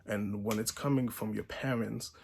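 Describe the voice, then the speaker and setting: A man's voice: lowish voice, fairly even intonation, normal speed, gravelly, a little hiss or hum. A man in his twenties from Haiti, talking earnestly to camera as a channel host, often restarting his sentences and tagging them with "right?". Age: 20s. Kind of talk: casual vlog monologue. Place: Buffalo, New York.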